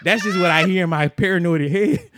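A man's voice: high voice, a little fast, noisy background. A young man co-hosting a podcast, telling stories in a loose, laughing way and acting out the people he quotes. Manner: laughs often, animated storytelling with quoted voices. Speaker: podcast co-host. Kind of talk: casual storytelling chat.

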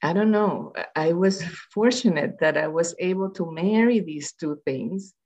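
A woman's voice: fairly low pitch, unhurried, a little mellow, lo-fi sound. A woman from Puerto Rico, a public health researcher, speaking in a conversational interview and rambling with many fillers and restarts.

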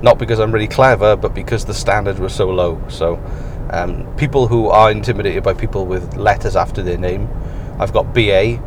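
A man with a croaky morning voice hosting a rambling solo podcast from his car on the way to work, with dry self-mocking asides. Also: on the low side, a little fast, few pauses. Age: adult, past university. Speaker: podcast host. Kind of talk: casual solo podcast while driving. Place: inside a car on a commute. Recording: in-car recording with road noise. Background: car and road noise.

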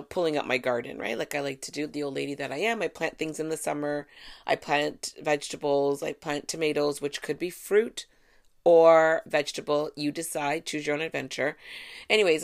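A woman's voice: sits low, vocal fry. A woman hosting her own podcast alone at home, talking in a chatty, sarcastic, rambling way. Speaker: podcast host. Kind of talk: casual solo podcast monologue. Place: at home, fan running.

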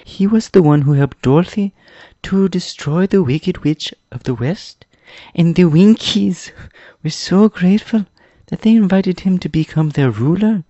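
A man voicing an eager, proud young boy adventurer in a storybook, explaining things excitedly. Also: high voice, dry recording, highs cut off, pitched above the performer's own voice.

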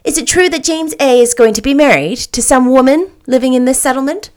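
A woman doing a brisk, chirpy middle-aged lady. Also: high-pitched, a little mellow, voice pushed up, lightly acted. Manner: brisk, emphatic. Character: brisk, chirpy little woman near fifty.

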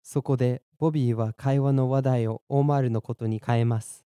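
A man's voice: mid-range voice, fairly even intonation, mellow, no echo. A young adult man, born in Germany and raised in Boston, explaining phrases in Japanese and English as a podcast language teacher. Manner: clear, measured explanations, slows down for repeat drills. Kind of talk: bilingual lesson narration, Japanese and English.

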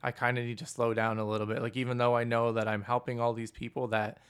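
A man hosting a casual interview, with a booming voice and a loud laugh, asking long, searching questions full of fillers. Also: level tone, fast talker, clear, resonant tone. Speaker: podcast host.

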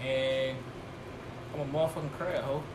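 A man's voice: medium pitch, fairly even intonation, moderate pace. A man in his twenties chatting casually, joking and crude. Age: 20s. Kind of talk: casual chat. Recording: echoey room with noise.

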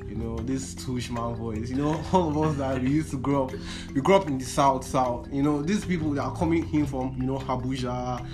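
A man's voice: medium pitch, fairly even intonation, brisk, hardly any pauses, over music. Playful Nigerian man hosting a podcast. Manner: playful, teasing, laughs often. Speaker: podcast host. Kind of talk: casual chat.

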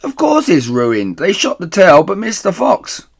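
Shrill, bossy farmer's wife. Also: male voice, huge pitch swings, measured pace, gravelly, full character voice. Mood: brisk, bossy. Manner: shrill, scolding shouts. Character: bossy, impatient farmer's wife calling from upstairs.

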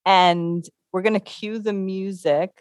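A woman co-hosting a library podcast in casual chat, giggly and animated, laughing often. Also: mid-range voice, slow pace, no room sound, studio quality. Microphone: headphones and studio microphone.

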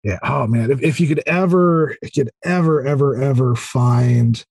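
A man's voice: mid-range voice, normal speed, darkish tone, husky, dry recording. A man in a casual interview chat as a podcast guest, thinking aloud hesitantly but with enthusiasm. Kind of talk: casual interview chat about video games.

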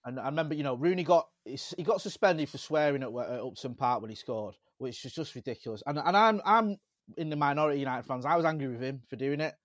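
A man with a Manchester accent chatting on a football podcast and telling lively stories about his days as a local radio reporter. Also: medium pitch, fast, no room sound, studio quality. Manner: animated storyteller, jumps into mimicry of fans. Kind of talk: casual football podcast chat.

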